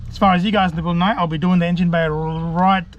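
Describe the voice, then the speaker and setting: A man's voice: high-pitched, level tone, fairly quick, dull audio. A man giving a laid-back, self-deprecating hands-on DIY tutorial, chatting colloquially to his viewers. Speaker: vlogger presenting a DIY car install.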